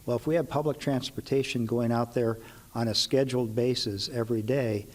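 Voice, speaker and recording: A man's voice: mid-range voice, steady tone, brisk. A man speaking as a city council member, answering in an interview.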